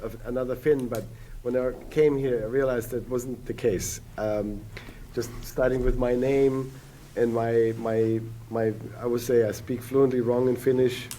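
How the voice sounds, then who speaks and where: Podcast guest, German-native man, 40s, mellow, halting and rambling. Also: medium pitch, flat delivery, a little hiss or hum. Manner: rambling, many hesitant restarts and fillers. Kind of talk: casual podcast conversation.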